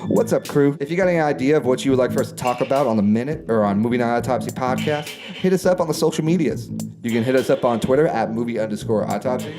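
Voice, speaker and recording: A man giving a brisk, upbeat outro plug as a podcast host. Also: medium pitch. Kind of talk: outro promo. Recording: voice over loud music.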